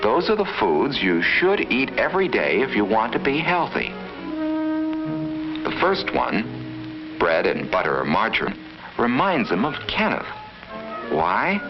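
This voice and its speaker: Lively low-voiced man narrating an old film. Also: measured pace, long pauses. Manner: instructive, storytelling to children. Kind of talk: 1950s scripted educational film narration. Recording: muffled vintage 1950s film soundtrack. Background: background music.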